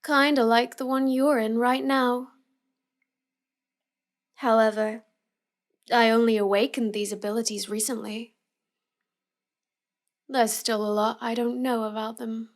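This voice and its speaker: A woman performs a young villainess for a roleplay audio, cute yet manipulative. Her voice sits a little high and she speaks slowly, savoring each smug, teasing line as she talks straight to the listener. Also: level tone. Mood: smug, gloating. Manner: slow, smug, taunting delivery.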